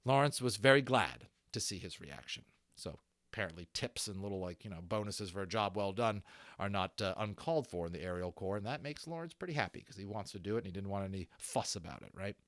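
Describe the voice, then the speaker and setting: A man narrating an audiobook as an expressive storyteller, dropping into casual asides now and then. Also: lowish voice, fast, dry recording, clean studio sound.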